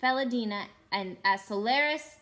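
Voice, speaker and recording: Woman voicing an imperious, bright-voiced witch queen. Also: fairly high pitch, moderate pace, close to an everyday voice. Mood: exultant menace. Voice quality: clear, ringing. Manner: commanding, grandiose. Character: imperious, wrathful witch queen threatening conquest.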